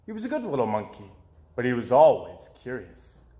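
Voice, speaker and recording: A young man reading a children's story aloud in an expressive way, with dramatic pauses. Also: mid-range voice. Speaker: storybook reader. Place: small indoor room with bookshelves. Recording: roomy, echoey indoor sound.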